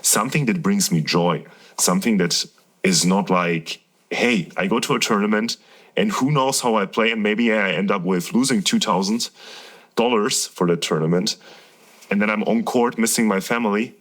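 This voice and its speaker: A man's voice: on the low side, normal speed, a bit bright. A man from Germany in his thirties, a professional tennis player talking candidly and at length about his career as a guest in a casual interview. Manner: earnest, candid, rambling storytelling.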